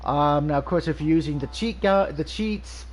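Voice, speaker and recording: A man's voice: a little high, normal speed. A man with a nasal, stuffy voice from allergies, casually reviewing a video game over gameplay, rambling with frequent ums and restarts.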